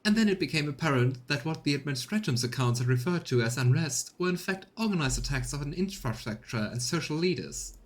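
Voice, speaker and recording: A man's voice: on the high side, expressive, fairly quick, few pauses, pitched above the performer's own voice, close to an everyday voice. A man voicing an anxious, haughty noblewoman governor in a formal, obsequious, theatrical manner for a video game. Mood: formal, defensive.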